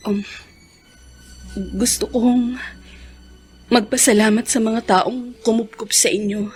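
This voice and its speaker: An actress playing an earnest, forgiving married daughter in a Filipino radio drama, with a Filipino accent. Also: female voice, a little high, steady tone, takes it slowly, a little mellow, faint music behind. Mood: apologetic, earnest.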